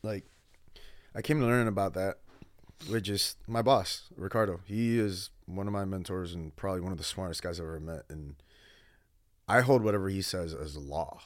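A man's voice: sits low, moderate pace, no room sound. A man in his thirties from Guam and California, telling stories in casual, slangy podcast chat as a guest. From Guam and California, born in the Philippines. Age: 30s. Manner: slangy, animated storytelling with quoted asides.

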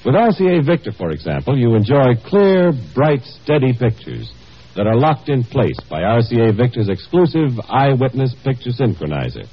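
A male radio announcer delivers a scripted 1950s commercial with lively, swinging intonation. His voice has a husky grain and sits at a middle pitch. The sound is the muffled, narrow tone of an old broadcast. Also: normal speed.

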